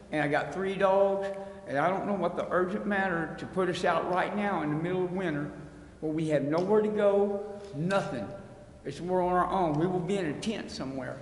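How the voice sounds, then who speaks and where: A plain-spoken man making an unscripted, pleading appeal as a resident at a public hearing, recorded in a council chamber. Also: sits high. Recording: echoey hall, long reverb, faint noise.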